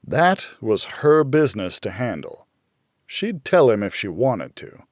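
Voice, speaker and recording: A man's voice: medium pitch, big pitch swings, measured pace, dry recording. Male noir audiobook narrator, very dry sound. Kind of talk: scripted first-person hardboiled thriller narration.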